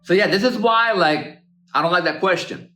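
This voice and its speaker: A man's voice: high-pitched, moderate pace, sharp tone. A man in his thirties, born in Azerbaijan with Russian as his first language, talks bluntly and rambles in a casual monologue. He swears freely and keeps calling his listeners man and bro. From born in Azerbaijan, raised in Russia and Armenia. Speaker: artist and podcast host. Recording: echoey room with faint music.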